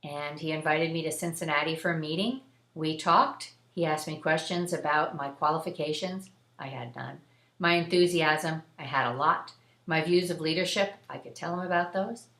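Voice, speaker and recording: A woman with a fairly low voice shares a first-person story as a host. Her delivery is conversational and earnest, with emphasis on key phrases. The recording has a clear room echo around her voice. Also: moderate pace, fairly bright tone. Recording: echoey room.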